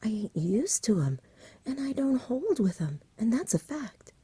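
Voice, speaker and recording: A nervous country shepherd played by a woman frets in a breathy storybook whisper. The telling is agitated and rambling, full of rustic turns of phrase. The tone stays soft and mellow. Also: fairly high pitch, big pitch swings, measured pace, no room sound, voice pushed up, heavily acted. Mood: bewildered, fretful. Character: frightened rustic shepherd father, fretful countryman.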